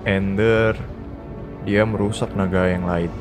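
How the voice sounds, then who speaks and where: A man's voice: deep voice, steady tone, takes it slowly. A man voicing the benevolent soul of an ancient dragon god, telling its story solemnly in a Minecraft roleplay. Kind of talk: dramatic scripted narration in Minecraft roleplay. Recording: music behind.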